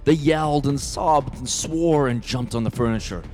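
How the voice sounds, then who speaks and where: A man's voice: medium pitch, normal speed, over music. A man narrating a scripted radio drama.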